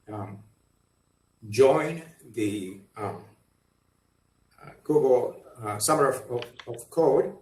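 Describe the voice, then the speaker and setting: A man moderating a panel, introducing guests with many fillers and restarts. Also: mid-range voice. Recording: echoey room, far from mic.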